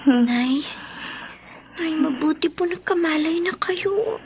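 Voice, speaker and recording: An actress playing a worried, grieving child in a radio drama, with a Filipino accent and a plaintive, respectful manner. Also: female voice, high-pitched, animated, takes it slowly, vocal fry, a little mellow, a little hiss or hum, full character voice.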